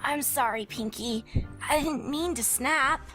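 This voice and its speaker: A woman's voice: high voice, a little slow, pushed and bright, soft background music, pitched above the performer's own voice. A woman voicing a testy, blunt young tomboy pegasus filly in a cartoon fanfic reading. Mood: apologetic.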